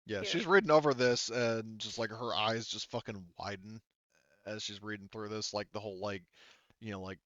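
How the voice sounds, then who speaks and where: A man narrating casually and haltingly as game master of a tabletop roleplaying game, in an informal, improvised way. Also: lowish voice, fairly bright tone, dry recording, muffled recording.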